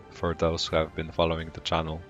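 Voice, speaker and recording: A man from Poland with a Polish accent, whose first language is Polish, calmly commenting on his game for a live stream and greeting viewers in chat. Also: rumbling low, faint music behind. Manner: calm, unhurried, greets chat viewers between plays.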